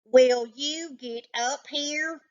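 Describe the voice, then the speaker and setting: A woman's voice: high-pitched, very slow, muffled recording, voice pushed up. A woman voicing a scornful, know-it-all male cat with a snappish, pedantic manner in a children's storybook. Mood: impatient, bossy. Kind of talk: children's storybook read-aloud.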